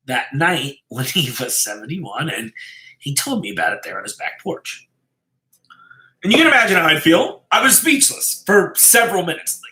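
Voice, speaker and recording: Man reading a story, bright echoey voice. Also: a little high. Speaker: storyteller reading a written horror story aloud. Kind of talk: live dramatic story reading, first-person narration. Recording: roomy, echoey live-stream sound.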